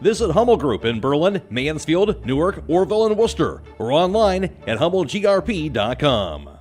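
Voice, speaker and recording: Animated higher-pitched male ad announcer. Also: moderate pace, soft background music. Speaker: radio commercial announcer. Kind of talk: scripted ad narration.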